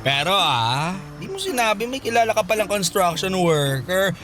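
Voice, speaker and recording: A man's voice: high-pitched, very animated, moderate pace, music in the background. An actor playing a catty, flamboyant young male best friend in a radio drama, campy and sassy, full of teasing exclamations. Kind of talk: Filipino radio drama acting.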